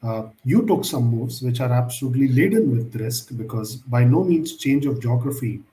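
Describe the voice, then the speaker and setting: Formal male interview host, mellow voice. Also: mid-range voice, lively intonation, moderate pace, reverberant. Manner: formal, elaborate phrasing with "I would say". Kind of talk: interview questions.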